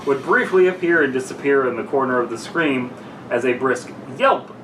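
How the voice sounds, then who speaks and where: A man's voice: mid-range voice, normal speed, fairly bright tone. A young man, a podcast co-host, playfully bantering and reading a story aloud in a goofy way. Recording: echoey noisy room, distant mic.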